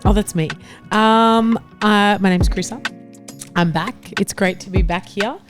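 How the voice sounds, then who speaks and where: A woman's voice: medium pitch, unhurried, over music. A woman panellist on a podcast, talking casually among friends. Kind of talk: casual chat.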